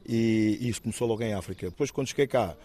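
50s guy from guinea-bissau, quick halting talk, lots of restarts, low mellow voice. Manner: thoughtful, halting with many restarts and fillers. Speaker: interviewee, actor and musician. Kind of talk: reflective personal interview.

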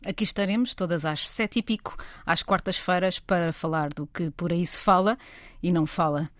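A woman with a European Portuguese accent hosting a radio talk show, speaking in a lively, emphatic and rhetorical way into a studio microphone. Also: on the low side, moderate pace, no echo. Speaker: radio show host and journalist.

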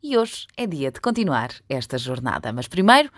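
A woman's voice: extremely low pitch, very animated, moderate pace, fairly bright tone, no echo, clean studio sound. A woman with a European Portuguese accent, hosting and reading a scripted introduction. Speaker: host.

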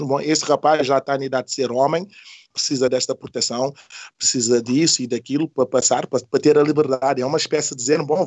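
A man's voice: mid-range voice, no echo. Middle-aged man, Portuguese speaker. Musician as interview guest, informal and reflective, repeats himself, dry recording. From Senegal. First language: not Portuguese. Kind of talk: casual, reflective personal storytelling.

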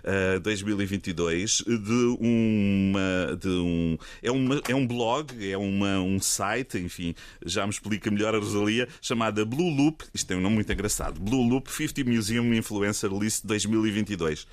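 A man with a European Portuguese accent hosting a relaxed radio interview, chatty, laughing often and searching for words. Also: on the low side, moderate pace, no echo. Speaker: radio host.

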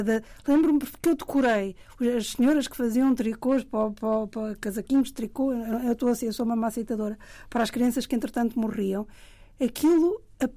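A woman's voice: on the high side, warm tone. A woman writer with a European Portuguese accent, chatting reflectively and self-deprecatingly, often restarting her sentences. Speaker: writer and panel guest. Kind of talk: casual conversation.

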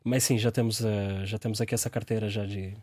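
A man's voice: lowish voice, level tone, brisk, a little mellow, dry recording, studio quality. A young man with a Brazilian Portuguese accent, a startup founder answering interview questions with many fillers and restarts.

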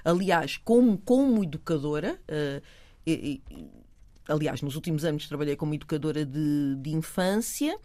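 A middle-aged woman with a European Portuguese accent, chatting as a guest, animated, laughing often and repeating words while she searches for them. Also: sits low, moderate pace. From Portugal and Cape Verde. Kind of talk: casual conversation.

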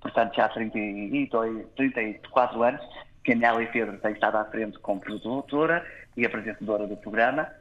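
Guy from toronto being interviewed, lots of ehs and restarts, kinda dull radio sound. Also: fairly low pitch. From Canada, born in Toronto. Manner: hesitant, many filled pauses and restarts. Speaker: guest. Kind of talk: interview answers.

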